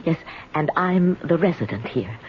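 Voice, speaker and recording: A woman's voice: low-pitched, lively intonation, moderate pace. An actress playing an older, timid, lonely spinster in a radio drama, faltering and plaintive as she repeats herself. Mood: insistent. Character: frantic, lonely retired spinster, timid and pleading. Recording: muffled, hissy old radio recording.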